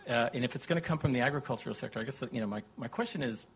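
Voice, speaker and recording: A man in the audience asking the panel a long question, hesitant and halting, full of uh and you know. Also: medium pitch, creaky. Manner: hesitant, many fillers and restarts. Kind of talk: conference question.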